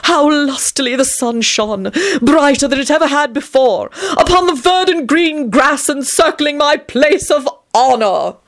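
A woman's voice: high voice, expressive, voice pushed up. A woman putting on a preening vampire dandy. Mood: grandiose. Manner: grandiose, drawn-out, preening delivery. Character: pompous theatrical male vampire, self-adoring show-off.

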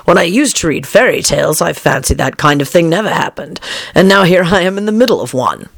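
A woman's voice: fairly low pitch, big pitch swings, a little fast, gravelly, dry recording, clean studio sound, full character voice. Woman playing a fretful, curious young girl. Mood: musing. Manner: musing, talking to herself.

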